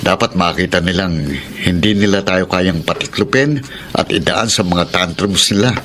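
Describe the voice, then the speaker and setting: Actor as firm middle-aged Filipino widower, lowish voice, measured and earnest, radio drama, clear background noise. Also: male voice, lightly acted.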